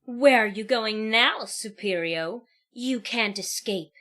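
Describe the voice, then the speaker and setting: A woman's voice: fairly high pitch, slow, a bit bright. A woman playing a mocking, grandiose supervillain in an audio drama. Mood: mocking, menacing. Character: taunting theatrical female supervillain in a stage show.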